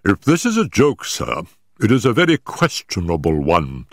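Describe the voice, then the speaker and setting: A solemn, pompous country vicar with a stiff and easily affronted manner, as a man reading a story puts him on. The voice swings from low and grave to high and indignant, with a dry close sound. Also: big pitch swings, normal speed, gravelly, fairly bright tone, no echo, exaggerated voice. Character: big, solemn, pompous male clergyman.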